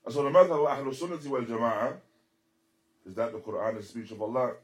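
A man giving a religious lecture as a teacher, speaking deliberately and emphatically in short, repeated phrases and switching into Arabic quotations. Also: medium pitch. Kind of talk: religious lecture explaining a text.

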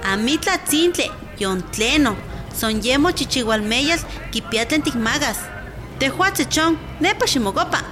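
A woman's voice: high-pitched, animated, measured pace, crowd noise. A woman playing an instructive village godmother and midwife in a radio drama. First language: Nahuatl. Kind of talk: radio drama acting in Nahuatl. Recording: music bed behind the voice.

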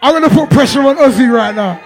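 A man MC, voice worn out from performing, loudly laughing and shouting out names in live banter. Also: very high-pitched, animated, fast talker, some chatter behind. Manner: loud, laughing, shouts out people. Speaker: MC on a live stream. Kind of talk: hyped live banter between sets.

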